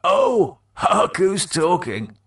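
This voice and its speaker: A man voicing a sneering dead man, slow and taunting. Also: mid-range voice, lively intonation, gravelly, bright, forceful tone, recorded from a distance, exaggerated voice. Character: mocking dead man, sardonic and goading.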